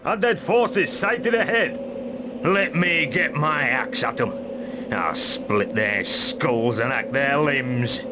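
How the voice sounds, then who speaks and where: Hearty warrior amid loud noise. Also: male voice, medium pitch, lively intonation, takes it slowly. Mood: eager, fierce. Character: tough, hearty veteran male warrior. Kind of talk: video game cutscene acting.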